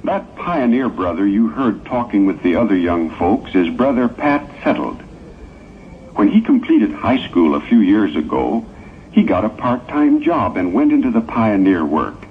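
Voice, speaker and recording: An old, thin tape recording with hiss carries a man acting as a stage narrator for a morality play. His low voice moves expressively as he explains things in a measured way. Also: big pitch swings. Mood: explanatory. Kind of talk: scripted narration. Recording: old tinny narrow-band tape with hiss.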